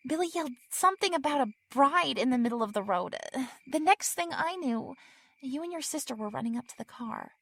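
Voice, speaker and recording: Audio drama role: woman as hurt, haughty teen mean girl, high animated voice, biting and demanding. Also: fairly quick. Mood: urgent, tense. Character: injured, haughty, sarcastic teenage mean girl.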